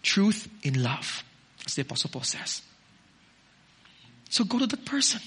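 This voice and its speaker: A man preaching a sermon as pastor, moving from loud urging to soft, close asides. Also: high-pitched, sing-song, unhurried, husky.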